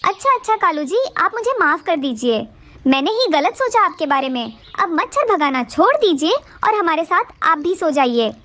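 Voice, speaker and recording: A woman plays a very high-voiced female cartoon crow with lively, swinging intonation. She is a sweet, trusting wife and mother, eager and deferential toward her husband. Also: moderate pace, no room sound, heavily acted. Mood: apologetic. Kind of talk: Hindi moral-story cartoon dialogue.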